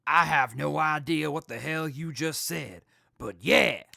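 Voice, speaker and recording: An actor in an audio drama playing an earnest hepcat military captain who talks in jive beatnik slang. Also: male voice, a little high, measured pace, creaky, pushed and bright, dry recording, clean studio sound, full character voice. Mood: easygoing, proud.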